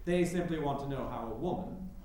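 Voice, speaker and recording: A man's voice: medium pitch, a little fast, mellow, close to an everyday voice. A man playing a persistent, flustered nineteenth-century newspaper reporter in a staged reading, hesitant yet pressing and politely needling. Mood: pressing, skeptical. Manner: hesitant yet pressing, declamatory when quoting. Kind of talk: staged reading, theatrical period dialogue. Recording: very echoey room, faint background noise.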